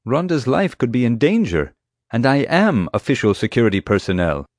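A man's voice: medium pitch, animated, normal speed, dry recording, studio quality, voice pushed up, natural voice. A man voicing a wry, defiant male animal-security consultant with a sly streak in an audiobook. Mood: firm, annoyed. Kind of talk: audiobook character dialogue.

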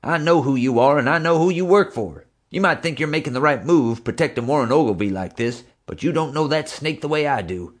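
A man voicing a furious, blustering older zoo tycoon who rants loudly in a raised, folksy voice. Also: mid-range voice, expressive, brisk, hardly any pauses, voice pushed up, lightly acted. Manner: ranting, folksy, loud and raised.

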